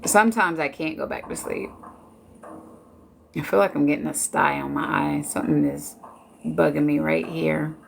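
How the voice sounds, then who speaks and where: A woman's voice: low voice, fairly even intonation, moderate pace, vocal fry, long pauses, slight background noise. A woman in her late forties from Washington D.C., chatting casually and jokingly with viewers on a live stream. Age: 40s. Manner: chatty, laid-back, joking.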